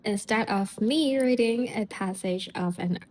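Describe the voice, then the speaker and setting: A woman from Hong Kong hosting a casual podcast, speaking in a friendly, unhurried way with loose phrasing and small slips. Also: medium pitch, a little mellow. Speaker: podcast host.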